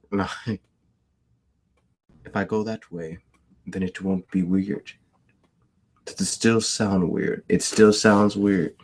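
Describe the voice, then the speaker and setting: A man's voice: lowish voice, a bit bright. A man hosting a casual livestream, who laughs often, repeats himself and answers his chat. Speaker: livestream host.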